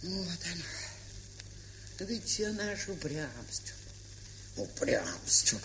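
A woman's voice: on the low side, sing-song, drawn-out words, exaggerated voice. An actress playing a bossy, affectionate old provincial aunt in a dramatised story, chatty and folksy in her scolding. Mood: teasing, regretful. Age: older. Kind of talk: dramatised short story, stage-style audio acting. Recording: hissy, crackly vintage 1970s record.

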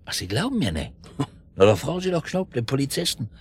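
An actor in a radio drama playing a superstitious island fishmonger, ominous and insistent, repeating words for emphasis. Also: male voice, mid-range voice, big pitch swings, fairly quick, breathy edge, heavily acted. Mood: agitated. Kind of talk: German radio drama acting.

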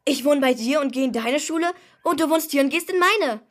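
A woman's voice: sits high, fairly quick, lightly acted. A woman playing a modest, practical young boy in a children's radio play. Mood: modest, persuasive.

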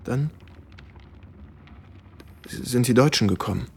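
An actor playing a shaken American soldier who recounts wartime horror gravely and haltingly in a German radio play. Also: male voice, medium pitch, lively intonation, exaggerated voice. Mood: bitter, weary. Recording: studio radio drama with music underneath.

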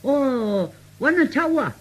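A woman's voice: high voice, expressive, takes it slowly, rough recording. An actress playing an easygoing elderly mother in a radio drama, speaking colloquial, exclamatory Cantonese-accented dialogue. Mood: casual, friendly. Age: older. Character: elderly mother, easygoing but curious about her grown son.

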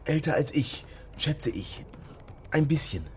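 A man's voice: on the high side, lively intonation, slow, darkish tone, slight background noise. An actor playing a wary young man living illegally, in naturalistic radio drama dialogue and inner monologue. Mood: focused. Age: young adult.

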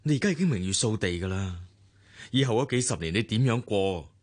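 Husky, animated aggrieved husband, Hong Kong Cantonese. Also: male voice, lowish voice, brisk. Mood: bitter reproach. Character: aggrieved husband confronting his wife, insistent, bitter. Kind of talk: radio drama acting.

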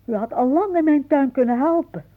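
An actress playing a fretful, suspicious older aunt with a sharp tongue in a radio play. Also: female voice, high-pitched, fairly quick, close to an everyday voice. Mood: scolding. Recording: muffled phone-like old radio recording.

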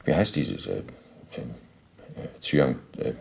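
An actor playing an evasive middle-aged male war criminal under interrogation in a radio play, halting and self-justifying, speaking in officialese. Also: very deep voice, lively intonation, gravelly, slight background noise, exaggerated voice. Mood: halting, uneasy.

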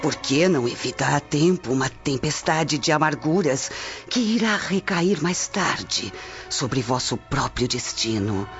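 Old Roman witch, dramatic and swooping played by an actress. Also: sits low. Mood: solemn warning. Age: older. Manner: solemn, formal, declamatory. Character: old greedy Roman witch, ominous fortune-teller, solemn. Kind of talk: radio drama acting. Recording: dull radio drama with music behind.

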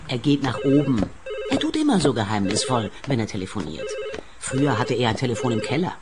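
An actress playing a bitter, grasping adult daughter in a radio play, with a cutting, indignant, dramatic delivery. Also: female voice, a bass voice, big pitch swings, normal speed, noisy background. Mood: nosy, grasping. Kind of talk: radio comedy-crime acting.

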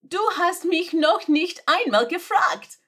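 Woman doing a confused young assistant, slow and careful, voice jumps all over when she's fed up. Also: extremely high pitch, animated, fairly bright tone, heavily acted. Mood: exasperated. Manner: baffled, exasperated, questioning. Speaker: podfic reader. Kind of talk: German audio drama reading.

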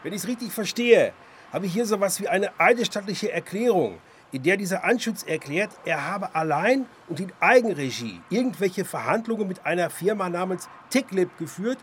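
A man's voice: high-pitched, slight background noise. An actor playing a restless, sardonic down-on-his-luck man in a radio drama, colloquial and insistent in a naturalistic style. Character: restless, sardonic down-on-his-luck amateur sleuth, wary of police. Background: faint background ambience.

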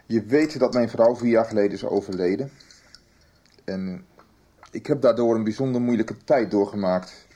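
An actor playing a lonely, guilt-ridden middle-aged widower, speaking haltingly in a naturalistic radio soap. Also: male voice, fairly low pitch, mellow, lightly acted. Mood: heavy-hearted. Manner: halting, careful.

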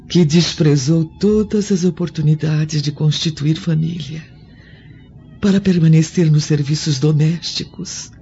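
A woman playing a gentle, devout, suffering Roman noblewoman in a radio drama, speaking solemnly and formally. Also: sits low, vocal fry. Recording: dull lo-fi audio with music behind.

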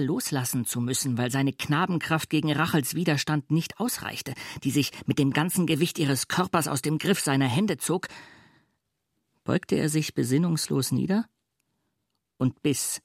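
A man with a husky voice reading literary narration for a radio play. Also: fairly high pitch, no room sound, clean studio sound. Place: dry radio studio.

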